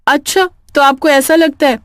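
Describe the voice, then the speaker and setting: A woman's voice: high voice, moderate pace, dry recording, clean studio sound, voice pushed up, natural voice. A woman voicing an alarmed, fearful local woman in a cartoon horror story. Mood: warning.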